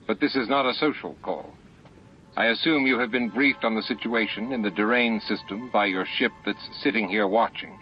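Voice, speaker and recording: Guy reading as a low frank dry captain in a sci-fi audiobook, muffled. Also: normal speed, faint music behind, close to an everyday voice. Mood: dry, firm. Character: steady, dutiful male starship captain. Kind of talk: audiobook character dialogue.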